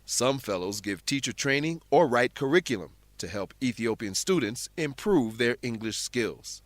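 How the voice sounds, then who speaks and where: A man narrates a scripted English lesson as its teacher. His voice is mid-pitched and he explains each point deliberately. The sound is dry, with no audible room. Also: expressive, no echo.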